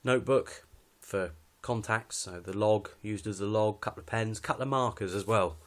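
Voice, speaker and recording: Man, British accent, lowish voice, casual gear walkthrough, matter-of-fact, slightly hesitant. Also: moderate pace. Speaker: hobbyist presenter showing his kit.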